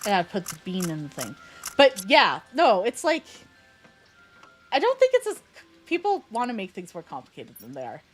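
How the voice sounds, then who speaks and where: A woman's voice: mid-range voice, wildly swooping pitch, moderate pace, faint music behind. A young woman, chatting bluntly and opinionatedly while playing a game. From Canada. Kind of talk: casual gaming chat.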